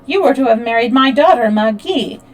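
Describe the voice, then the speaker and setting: A woman reading the lines of an angry, scornful middle-aged father, loud and sharp. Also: on the high side, lively intonation, moderate pace, pitched above the performer's own voice, lightly acted. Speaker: audiobook reader. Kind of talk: read-aloud fiction dialogue.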